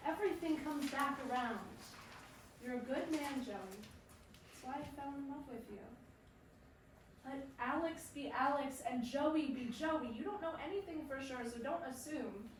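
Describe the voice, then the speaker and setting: Woman playing a caring wife, very echoey, high-pitched. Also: unhurried, slight background noise. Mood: firm, soothing. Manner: coaxing, reassuring. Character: caring, firm wife of a ballplayer. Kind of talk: staged play reading. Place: performance room, recorded from a distance. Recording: very echoey room, distant mic.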